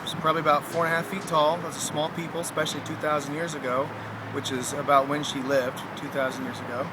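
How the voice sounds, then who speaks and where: Higher-voiced man talking over loud outdoor noise. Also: little pitch movement, quick pace. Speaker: website owner presenting an item. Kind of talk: casual talk to camera. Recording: very noisy, traffic-like outdoor ambience.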